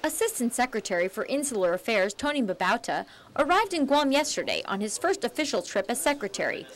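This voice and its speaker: A woman's voice: on the high side, very animated, a little fast. A woman delivering scripted television news reports as a field reporter.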